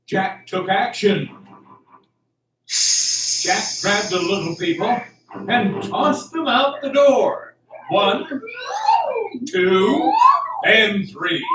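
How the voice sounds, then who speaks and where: A man's voice: high-pitched, background noise. A man narrating a scripted audio drama in a theatrical, suspenseful storytelling style. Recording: roomy, distant, music underneath.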